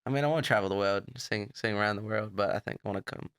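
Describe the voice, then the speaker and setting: A man's voice: fairly low pitch, very fast, fairly bright tone, clear, resonant tone, no echo, studio quality. A young man with an Australian accent, a country singer being interviewed, easygoing and agreeable in casual chat. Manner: easygoing, agreeable, laughs along.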